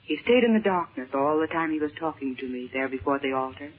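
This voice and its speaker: An actress playing a frightened, gentle mother held captive, in an earnest, dramatic 1930s radio serial style. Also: sits low, animated, fast. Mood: anxious recounting. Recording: muffled, tinny 1930s radio recording.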